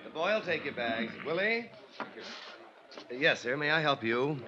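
An actor playing a polite, businesslike American hotel desk clerk in a 1950s radio drama, courteous and formal. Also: male voice, on the high side, very animated, measured pace, sharp tone, soft background music, exaggerated voice. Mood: polite, helpful. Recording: dull vintage radio recording.

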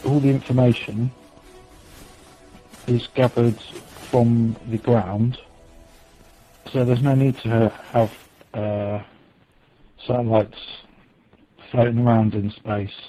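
A man's voice: medium pitch, dark tone, rough recording. A man in a played video clip explaining things in a matter-of-fact way.

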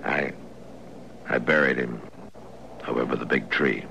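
Very deep-voiced, steady western lawman. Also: gravelly, full character voice. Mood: regretful, steady. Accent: American. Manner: measured, laconic, plainspoken. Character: stern steady lawman, plainspoken, firm but regretful. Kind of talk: old-time radio western acting. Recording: noisy vintage radio recording.

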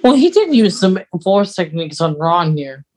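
A woman's voice: fairly low pitch, big pitch swings, normal speed, a little mellow. A woman co-hosting a podcast in casual, playful chat, chiming in with corrections. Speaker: podcast co-host.

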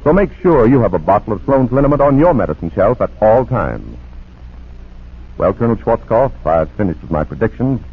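A man's voice: on the low side, big pitch swings, brisk, breathy edge. A man announcing a commercial in 1940s radio style, with a polished, persuasive salesman's delivery. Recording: muffled, narrow-band vintage radio recording.

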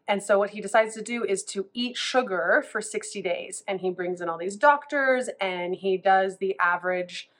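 A woman's voice: mid-range voice, moderate pace, few pauses. Woman food coach in her 30s, stuffy from a cold, loose rambling vlog delivery. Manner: chatty, rambling with many restarts. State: congested from a cold. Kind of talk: casual vlog talk.

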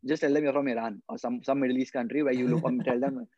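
A young man from India speaks earnestly in a casual podcast chat, often circling back and repeating words while he explains. His voice sits at an ordinary middle pitch. The recording is dry and a little dull. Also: fairly even intonation, fast talker, dark tone, husky, dry recording. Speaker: guest footballer.

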